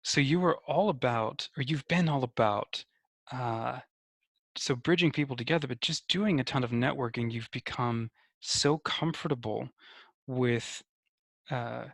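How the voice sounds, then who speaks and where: A man's voice: medium pitch, a little slow, vocal fry, a bit bright, no room sound, studio quality. A man hosting a conversational podcast interview, thoughtfully feeling his way through summaries and questions.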